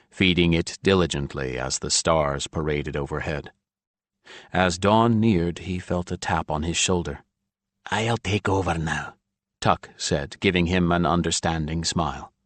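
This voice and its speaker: A man's voice: low-pitched, no echo. A man narrating a fantasy audiobook in a scripted storytelling style.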